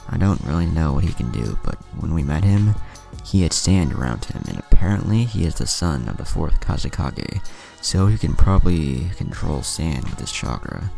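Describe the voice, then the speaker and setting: Casting: male narrator as self-assured teen boy ninja, cheeky yet protective, very low and crackly. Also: sing-song, moderate pace, gravelly, warm tone, soft background music, exaggerated voice. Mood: easy, teasing.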